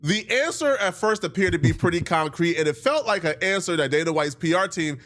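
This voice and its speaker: Male commentator, slightly high pitch, casual and slangy, emphatic opinionated delivery. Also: lively intonation, fairly quick, fairly bright tone. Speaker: video commentator.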